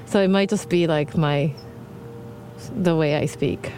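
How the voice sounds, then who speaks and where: A woman's voice: low voice, measured pace, warm tone, faint music behind, poor audio. A woman from Japan with a Japanese accent, heavily pregnant, chatting hesitantly with many fillers as a guest in a hot tub. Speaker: guest, film composer. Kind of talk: casual conversation. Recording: faint background noise.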